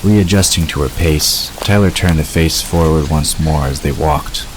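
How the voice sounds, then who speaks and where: Really deep male narrator reading a story, noisy hissy background. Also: unhurried. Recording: noisy, rain-like hiss behind.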